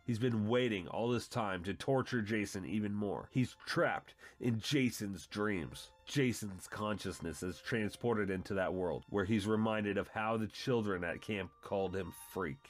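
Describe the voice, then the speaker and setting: Low-voiced man narrating a dramatic comic story. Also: a little slow, few pauses. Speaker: channel host and narrator.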